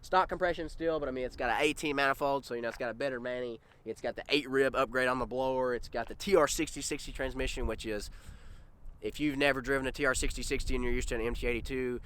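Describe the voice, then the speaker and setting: A young man hosting a casual car vlog. He sounds a little congested and talks in an excited, rambling way, first inside a car and then outdoors, into a handheld camera held at arm's length. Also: mid-range voice, fast talker, clean tone. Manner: excited, rambling, addresses viewers as guys. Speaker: car vlogger host.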